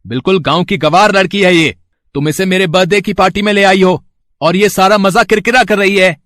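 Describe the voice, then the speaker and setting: An actor playing a spoiled, sly young rich college man in a cartoon story, smooth-talking and pushy. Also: high-pitched, brisk, a bit bright. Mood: irritated. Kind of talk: Hindi moral-story cartoon acting.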